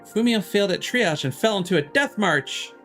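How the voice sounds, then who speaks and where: Male player as intense, excitable anime game girl, raised pitch, faint music behind. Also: normal speed. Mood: alarmed. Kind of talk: reading game dialogue aloud.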